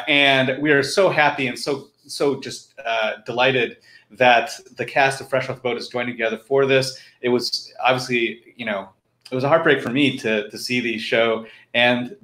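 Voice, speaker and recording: A middle-aged man hosts an online event over a video call. His medium-pitched voice sits in an echoey room. He speaks informally and often stops to restart a phrase. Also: steady tone, normal speed, bright, forceful tone. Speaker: host of a live online fundraiser. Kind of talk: informal live stream hosting. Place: room at home, indoors. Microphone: computer video call.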